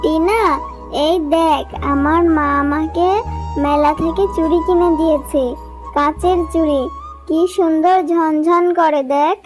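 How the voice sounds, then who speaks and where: A woman's voice: very high voice, steady tone, unhurried. A woman voicing an eager, pleading little fox girl in a children's cartoon. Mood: excited. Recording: music behind the voice.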